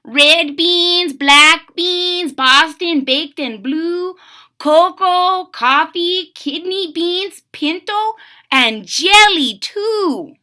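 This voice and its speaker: A woman voicing a small, excitable Chihuahua from a storybook, with dramatic, drawn-out words. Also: very high voice, pitched above the performer's own voice, full character voice. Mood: alarmed, dramatic. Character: Poquito Tito, small excitable Chihuahua bandit-fearing gang member.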